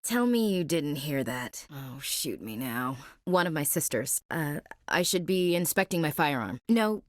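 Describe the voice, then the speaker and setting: A woman's voice: sits low, very animated, measured pace, dry recording. A woman with an American accent playing a young, dutiful, frank marine soldier with dry humour in a video game. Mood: embarrassed, teasing. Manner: crisp military address, frank, dry humour. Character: blunt, dutiful young female marine soldier, guarded and wry.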